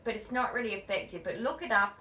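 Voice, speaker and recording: A woman's voice: on the high side, animated, quick pace, bright, forceful tone. A woman from Denmark chatting casually in a blunt, opinionated, sweary way, as a vlogger. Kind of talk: casual chat.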